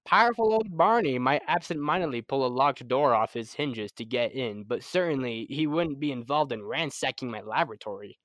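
A man's voice: mid-range voice, normal speed, hardly any pauses, fairly bright tone, no echo, close to an everyday voice. A young man playing a determined, formal scientist in a 1930s-style radio serial, speaking insistently. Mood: measured. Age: young adult. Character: Doctor Huer, determined, formal male scientist.

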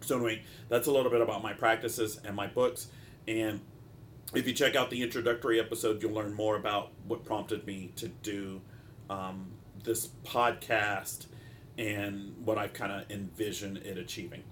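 A man with a fairly low, congested voice talks through an informal solo podcast as its host. He speaks in a halting, discursive way with frequent asides. A clear rumbling noise sits behind his voice. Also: fairly even intonation, fairly bright tone. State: congested, stopped-up nose. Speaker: podcast host, mental health practitioner.

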